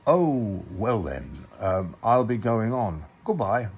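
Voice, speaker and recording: A man's voice: fairly low pitch, big pitch swings, takes it slowly, darkish tone, husky, heavily acted. A man voicing a bumbling, good-natured, greedy storybook bear who stammers and hesitates. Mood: disappointed. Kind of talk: storybook character reading.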